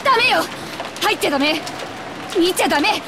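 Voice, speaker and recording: Panicked woman, very high and urgent. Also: animated, rapid-fire, heavy background noise. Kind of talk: Japanese visual novel voice acting.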